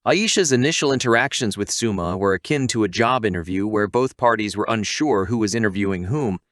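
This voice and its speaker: A man's voice: lowish voice, expressive, brisk, fairly bright tone, no room sound, studio quality. A man reading a scripted recap narration in a wry, tongue-in-cheek storytelling manner.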